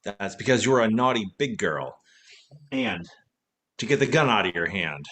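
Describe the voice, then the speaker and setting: A man's voice: fairly low pitch, animated, moderate pace, fairly bright tone. A man playing a wry, wisecracking, tipsy former detective in a vintage-style radio play. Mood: wry, casual. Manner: wisecracking, teasing.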